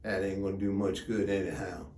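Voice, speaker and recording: An actor playing a wry, plainspoken rural gravedigger, telling a yarn in a drawling folksy dialect with dramatic pauses. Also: male voice, low voice, level tone, darkish tone. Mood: rueful amusement. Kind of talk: theatrical storytelling monologue. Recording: echoey room.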